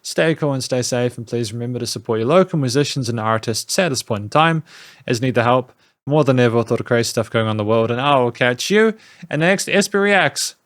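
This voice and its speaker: A man's voice: medium pitch, a little fast, dry recording. Male music reviewer, casual and rambling, many restarts; close, dry mic sound. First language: not French.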